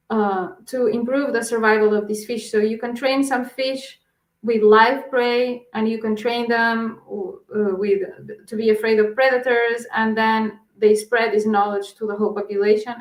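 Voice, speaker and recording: Portuguese-accented woman researcher, echoey sound. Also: a little high. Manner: hesitant, many fillers and restarts. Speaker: guest researcher. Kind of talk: answering interview questions.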